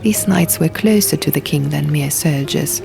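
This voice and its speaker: A woman's voice: deep voice, normal speed, gravelly. A woman reading a fantasy novel aloud as scripted, dramatic audiobook narration. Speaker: audiobook reader. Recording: music behind the reading. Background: music and sound effects.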